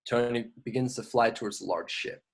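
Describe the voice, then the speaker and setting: A man's voice: medium pitch, steady tone, brisk, creaky, breathy edge. A man narrating scripted action directions in an amateur table read of a film. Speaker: narrator reading stage directions.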